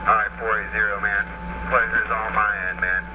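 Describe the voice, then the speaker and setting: A man's voice: fairly low pitch, level tone, normal speed. A man chatting casually over a CB radio in a laid-back, friendly way, full of radio slang. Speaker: CB radio operator. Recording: muffled CB radio with loud static.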